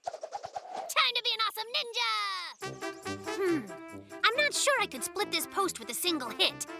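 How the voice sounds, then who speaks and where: Cartoon bear cub: very high, swooping delivery, boastful and theatrical would-be ninja, over prominent music. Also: sing-song, normal speed, exaggerated voice. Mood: eager. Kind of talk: children's cartoon voice acting.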